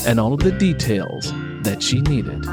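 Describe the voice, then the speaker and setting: An audiobook narrator reading a scripted story in an expressive way. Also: medium pitch, normal speed. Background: music bed with plucked guitar.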